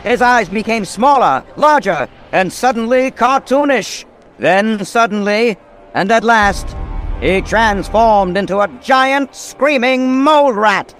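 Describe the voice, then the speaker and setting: A man's voice: high voice, expressive, slow pace, a bit bright, soft background music, exaggerated voice. A man playing a breathless, dramatic narrator of an old-time radio serial. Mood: suspenseful.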